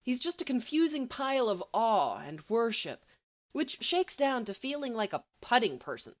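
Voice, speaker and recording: A woman reading a story aloud as an expressive audiobook narrator. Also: a little high, normal speed. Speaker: volunteer audiobook reader.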